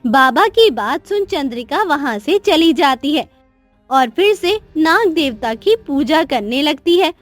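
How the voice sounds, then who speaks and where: High-pitched woman storyteller. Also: animated, unhurried, faint music behind. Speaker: narrator. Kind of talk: scripted storytelling narration for a cartoon.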